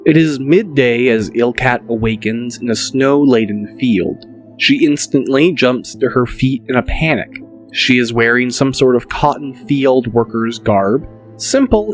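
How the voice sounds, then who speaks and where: A man narrating a dramatic audiobook in a deliberate, measured manner. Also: medium pitch.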